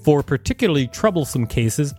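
A man co-hosting a podcast, reading an encyclopedia article aloud in a plain, steady way and joking between sections. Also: fairly high pitch, a little fast, soft background music. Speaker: podcast co-host and reader.

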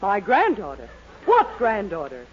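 A woman's voice: mid-range voice, wildly swooping pitch, measured pace. An actress playing a stern, vain middle-aged mother, indignant and bossy, in a 1940s radio sitcom. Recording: muffled old radio, hiss, studio audience.

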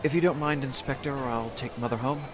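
An actor playing a dutiful, protective young adult son in a 1940s-style radio drama. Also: male voice, medium pitch, steady tone, a little fast, close to an everyday voice. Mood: subdued, polite.

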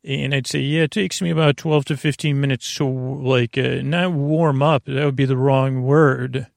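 A man hosting a bedtime podcast in a gravelly voice, rambling haltingly, interrupting himself and drifting off on tangents. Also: medium pitch, fairly even intonation, dry recording, studio quality. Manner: meandering, halting, self-interrupting, tangent-prone. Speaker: podcast host.

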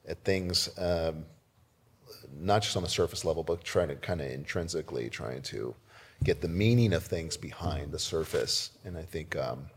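A man's voice: very deep voice, normal speed, breathy edge. A man from South Texas speaking casually as an interview guest, easygoing and joking, feeling his way through his answers. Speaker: podcast guest, winemaker.

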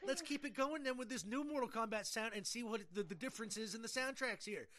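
A man's voice: extremely high pitch, quick pace, a bit bright. A man hosting a podcast in casual, rambling banter, joking and swearing freely. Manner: jokey, profane, rambling. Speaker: podcast host.

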